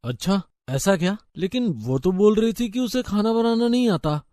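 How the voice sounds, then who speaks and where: A man's voice: high-pitched, animated, normal speed, dark tone, no echo, studio quality. A man voicing a rich, polite young college man in a story, earnest and plain-spoken. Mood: impressed, curious.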